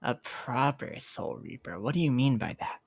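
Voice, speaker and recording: A player voicing a guarded, puzzled widow in an anime roleplay, in a halting, skeptical way. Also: mid-range voice, very animated, creaky, husky, heavily acted.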